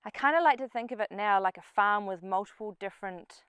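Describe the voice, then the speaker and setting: A woman's voice: medium pitch, brisk, fairly bright tone, clear, resonant tone, dry recording. A middle-aged woman with a New Zealand accent giving an informal short personal talk to a group. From New Zealand and Papua New Guinea. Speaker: speaker at a community event, farm sustainability coach.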